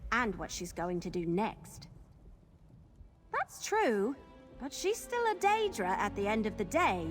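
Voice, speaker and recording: A woman playing a friendly young adventurer in a video game, plain-spoken and casual with a wry streak. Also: on the high side. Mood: uneasy, wry, then doubtful, loyal. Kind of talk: video game character acting.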